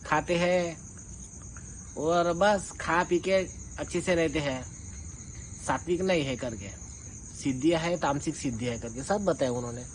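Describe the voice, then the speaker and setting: A man's voice: on the high side, low-quality recording. A man giving an informal, colloquial rebuttal in an accusatory, confrontational manner, like someone airing a grievance. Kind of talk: informal spoken rebuttal monologue. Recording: clear background noise with insect-like chirping.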